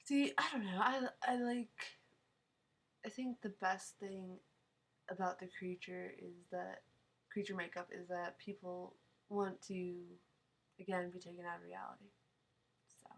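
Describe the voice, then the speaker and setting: A woman's voice: on the low side, steady tone, unhurried, vocal fry, long pauses, breathy edge. A young woman with a nasal voice from a sinus cold, chatting casually while answering viewer questions on a live stream, rambling and laughing often. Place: home, small town.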